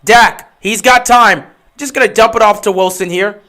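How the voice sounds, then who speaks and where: A man giving casual live play-by-play commentary on a stream, getting excited and loud on big plays. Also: high voice, moderate pace. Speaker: livestream game commentator.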